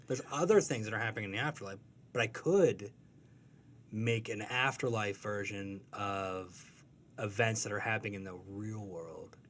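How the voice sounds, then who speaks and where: Hesitant, lowish-voiced man. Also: normal speed, a bit bright, slight background noise. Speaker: comic strip artist, interview guest. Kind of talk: casual interview chat.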